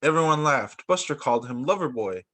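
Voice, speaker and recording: A man reading picture books aloud as a teacher, in an animated, emphatic way meant for young children. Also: mid-range voice, a little fast. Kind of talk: expressive children's story read-aloud.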